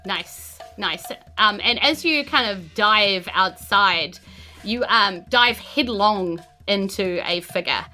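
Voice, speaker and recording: A woman with a New Zealand accent narrating a tabletop game as game master, in an informal, halting, descriptive way. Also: mid-range voice, sharp tone, faint music behind.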